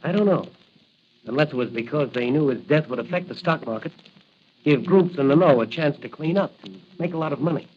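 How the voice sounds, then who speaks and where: An actor playing an earnest, confident young rookie policeman in a 1940s radio drama, brisk and explanatory. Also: on the high side, expressive, slightly rough. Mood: earnest, urgent. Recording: muffled, noisy old radio recording.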